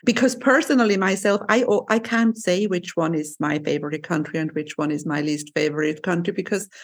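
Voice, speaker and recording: A woman's voice: lowish voice, a little fast. A woman talking casually about travel in a conversation. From Switzerland. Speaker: former tour guide.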